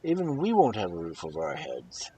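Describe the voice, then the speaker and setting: A male storyteller plays a plainspoken storybook householder. He projects firmly and swings his pitch with lots of emphasis, over some noise. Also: huge pitch swings, brisk, mellow, recorded from a distance, heavily acted. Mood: worried. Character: Halvor, plainspoken country householder facing trolls.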